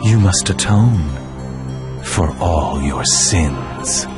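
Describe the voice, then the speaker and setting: A man playing a menacing, sanctimonious leader in a video game, theatrical, condescending and deliberate, with music behind him. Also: low voice, lively intonation, heavily acted. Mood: accusing. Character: menacing, sanctimonious male cult-like leader and manipulator. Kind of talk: video game cutscene voice acting.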